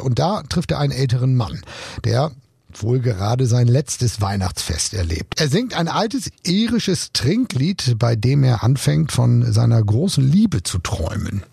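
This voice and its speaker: A man's voice: medium pitch, vocal fry, darkish tone, husky, no room sound, studio quality. A man co-hosting a music show, explaining songs in a conversational way from loose notes. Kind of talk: semi-scripted music storytelling.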